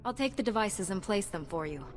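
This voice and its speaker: A woman's voice: medium pitch, animated, a little fast, lightly acted. An actress playing a noble, composed female knight in a video game, formal and resolute. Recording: long reverb, clear background noise.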